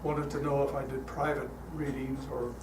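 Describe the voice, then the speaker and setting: An older man speaking as a guest at a church, telling stories in a relaxed, chatty way with frequent fillers, his voice calm. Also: medium pitch, moderate pace. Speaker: guest speaker leading a guided meditation. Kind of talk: storytelling talk to a congregation. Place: church hall. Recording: echoey hall, heard from a distance, noisy.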